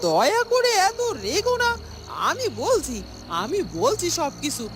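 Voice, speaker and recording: A woman's voice: extremely high pitch, sing-song, unhurried, noisy background, exaggerated voice. A woman voicing the sly, scheming wife of a village headman in a cartoon, theatrical and wheedling. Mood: placating, wheedling. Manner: theatrical, wheedling, self-questioning. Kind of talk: dramatic cartoon voice acting. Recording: music behind the voice.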